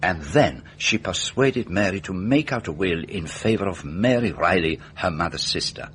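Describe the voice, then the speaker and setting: A low-pitched, self-assured detective, lays out a case with deliberate, methodical care. His delivery swings expressively, full of rhetorical questions, in a radio mystery drama with slight background noise. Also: animated, a bit bright. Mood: grave, assured. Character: meticulous, self-assured male detective explaining a murder case.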